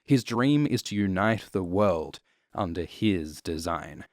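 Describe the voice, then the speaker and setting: Guy doing video essay voiceover, lowish voice, matter of fact and a bit irreverent, very dry sound. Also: animated, takes it slowly, dry recording. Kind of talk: scripted explainer narration.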